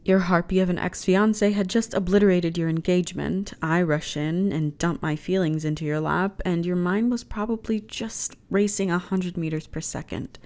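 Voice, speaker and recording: A woman's voice: fairly low pitch, fairly even intonation, moderate pace, few pauses, close to an everyday voice. A woman playing a flirty, reassuring alien starship officer in an intimate ASMR roleplay, talking in a chatty, teasing way. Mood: gentle, reassuring. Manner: teasing, chatty, conversational with sighs and soft laughs.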